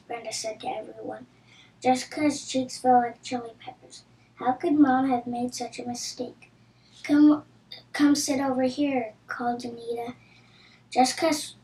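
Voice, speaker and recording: A woman's voice: sits high, a little mellow. A woman reading a children's storybook aloud. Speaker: storybook reader. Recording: roomy echo with audible background noise.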